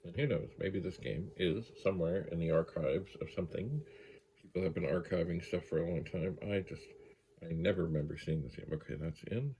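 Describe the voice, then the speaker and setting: A man's voice: sits low, brisk, poor audio. A man hosting a hands-on hobby video in casual, unscripted chat, rambling and musing to himself as he goes. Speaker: host of a vintage computer video series. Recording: background hum and noise. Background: gentle tape machine hum, clicking.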